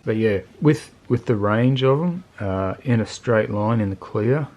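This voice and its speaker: A man's voice: lowish voice, unhurried, darkish tone, lo-fi sound. A man giving a casual, unscripted product review in a laid-back, colloquial, plain-spoken way. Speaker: motorcycle video channel host reviewing gear.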